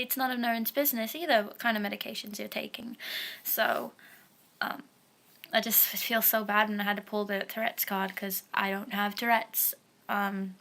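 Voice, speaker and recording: A woman's voice: fairly high pitch, sharp tone. A young woman vlogging about her own experience in a casual, frank way, with a stutter and a speech impediment, using lots of fillers and swearing. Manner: stutters and repeats words, many fillers, frank and profane. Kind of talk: casual personal vlog.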